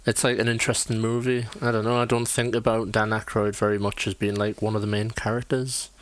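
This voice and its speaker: British male podcast co-host, easygoing and chatty, lower pitch, frequent laughter. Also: level tone, fairly quick, no echo. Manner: laid-back, laughs often, lots of "like" and "yeah". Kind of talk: casual film chat.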